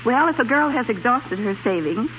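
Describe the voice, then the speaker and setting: A dull old broadcast with clear static carries a middle-aged woman. She is a formal radio guest, answering in an orderly, scripted 1930s interview style. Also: a little high, animated, normal speed. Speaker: club director, radio guest.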